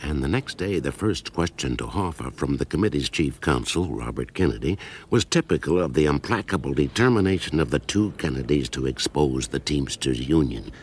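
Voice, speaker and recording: A man narrating a radio drama as a grave storyteller, in a measured, deliberate and dramatic manner. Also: very deep voice, lively intonation, slight background noise. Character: grave storyteller recounting a political feud, adult man.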